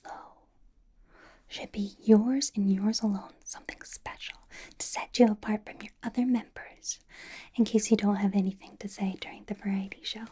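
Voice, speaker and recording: Woman acting as bubbly doting big-sister pop idol, ASMR roleplay, very breathy whisper, soft mellow tone, encouraging and rambling. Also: mid-range voice. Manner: encouraging, rambling, playfully reassuring. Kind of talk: ASMR roleplay with personal attention.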